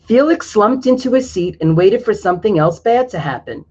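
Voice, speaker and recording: A man's voice: high-pitched, lively intonation, normal speed, a little mellow, a little hiss or hum. A man reading a picture book aloud to children, stopping now and then to explain things to his young listeners. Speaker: storyteller. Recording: dull lo-fi recording.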